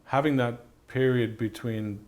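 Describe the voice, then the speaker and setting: A man speaking as a film director in an interview, reminiscing about his work in halting, hesitant phrases. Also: mid-range voice, steady tone, darkish tone. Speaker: film director and effects artist being interviewed.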